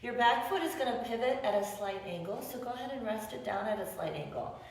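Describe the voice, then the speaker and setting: A raspy-voiced woman leads a beginner yoga class with playful, encouraging cues, sounding tired after many classes. Her voice comes from well away from the mic. It rings through a very echoey room with a long, trailing reverb. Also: on the high side, animated, a little fast, distant mic, slight background noise. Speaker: yoga instructor. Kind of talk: guided yoga class instruction.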